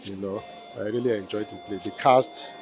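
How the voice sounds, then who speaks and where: Male, fairly low voice, parent's testimonial, unscripted, repeats phrases, light music underneath. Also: fairly even intonation, normal speed, darkish tone. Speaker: parent in the audience. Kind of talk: impromptu spoken testimonial.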